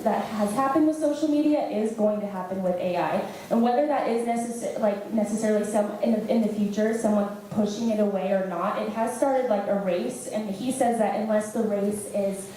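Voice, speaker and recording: A woman's voice: mid-range voice, normal speed, few pauses, dark tone, slight background noise. Hesitant young woman, echoey room. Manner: hesitant, many fillers and restarts. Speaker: student panelist. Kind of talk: answering an audience question.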